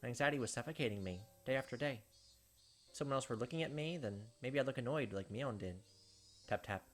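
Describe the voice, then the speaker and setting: A man with a medium-pitched voice hosts a visual novel let's play. He moves between casual commentary and reading narration aloud. Soft music plays faintly behind him. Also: fast talker.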